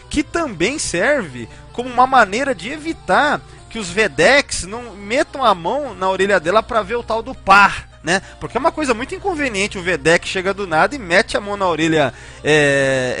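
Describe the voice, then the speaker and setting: A man's voice: sits high, bright, forceful tone. A man with a Brazilian Portuguese accent hosting a casual fan podcast, informal and often hesitant, checking for agreement with tag questions. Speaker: podcast host.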